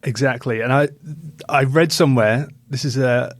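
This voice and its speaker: A man's voice: medium pitch, normal speed, no room sound. A man hosting a podcast, chatting informally and asking loosely phrased questions. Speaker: podcast host. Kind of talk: casual interview chat.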